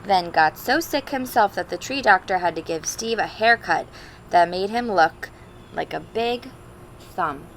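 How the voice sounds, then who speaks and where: Female storybook reader for young children, expressive and chatty, creaky mid-range voice, clear background noise. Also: medium pitch, a little slow. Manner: expressive, simple explanations and questions for young children. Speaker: teacher reading a picture book aloud to children. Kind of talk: storybook read-aloud with chatty asides to children.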